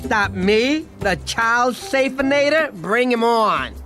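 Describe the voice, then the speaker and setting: Casting: eager, bumbling sloth uncle for a cartoon game; lisping, clownish, very animated. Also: male voice, very high-pitched, slow, full character voice. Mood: cocky. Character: goofy, eager, hapless male sloth uncle. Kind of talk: animated video game acting. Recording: music behind the voice.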